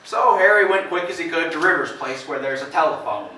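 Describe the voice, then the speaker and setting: A man's voice: fairly high pitch, animated, a little fast, sharp tone. A man playing a rambling farmer, echoey and distant. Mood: recounting, bewildered. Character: talkative, rambling farmer neighbor giving his account. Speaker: amateur stage actor. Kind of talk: stage drama acting, recounting events. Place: indoor theater stage, distant microphone. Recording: echoey stage from far off, faint noise.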